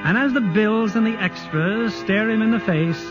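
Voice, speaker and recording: A man's voice: sits high, normal speed, music in the background. A man narrating a radio play as announcer in a theatrical, scripted vintage style. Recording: muffled vintage radio recording.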